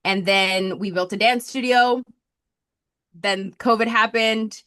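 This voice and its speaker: A woman's voice: mid-range voice, fairly even intonation, slow, a bit bright, clear, resonant tone. A woman in her thirties from Colombia, a podcast guest telling her story in chatty talk full of fillers and likes. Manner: chatty, talks nonstop, many fillers and likes. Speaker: podcast guest, marketing strategist.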